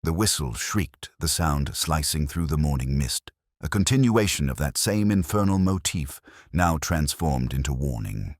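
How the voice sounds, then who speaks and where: Male narrator, very deep and animated, dramatic detective fiction, measured literary phrasing. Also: dry recording, studio quality. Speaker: narrator and audiobook reader.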